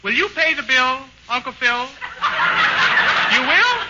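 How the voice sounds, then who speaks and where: A man's voice: very high voice, very animated, slow pace. A man with a naive, chirpy manner trading lines in a 1940s radio comedy. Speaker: singer and comic regular. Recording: dull noisy 1940s radio broadcast.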